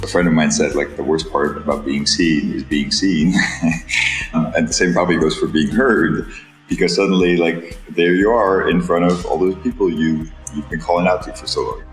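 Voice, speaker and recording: A man from Switzerland talking casually and reflectively as a songwriter, in a halting, thoughtful way. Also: low voice, fairly even intonation, roomy sound, soft background music, poor audio. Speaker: singer-songwriter. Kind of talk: reflective, casual interview talk.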